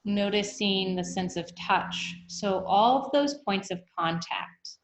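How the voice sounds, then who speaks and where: A woman guiding a meditation, speaking in an unhurried, gently instructive way with long pauses. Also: mid-range voice, a little hiss or hum. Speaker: meditation guide. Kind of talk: guided meditation, body scan. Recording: echoey room.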